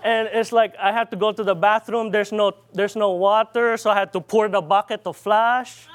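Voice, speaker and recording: A man with a Filipino accent and a notably high-pitched voice preaches in an informal, rambling way. He tells stories with frequent fillers and restarts. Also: fairly even intonation, moderate pace. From Philippines. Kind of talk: informal sermon storytelling.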